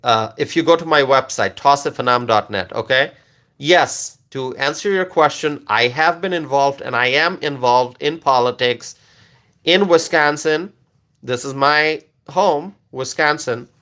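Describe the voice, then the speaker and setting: A man's voice: medium pitch, unhurried, a bit bright. A man from Bangladesh whose first language is Bengali, hosting a live stream where he talks through politics and answers chat questions, repeating points for emphasis and checking in with "okay?". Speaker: livestream political commentator.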